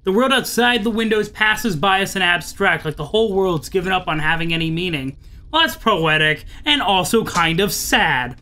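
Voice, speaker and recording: A man's voice: high voice, expressive, normal speed, pushed and bright. A man hosting a visual novel playthrough, reading narration aloud with chatty, amused, wry asides. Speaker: let's play host.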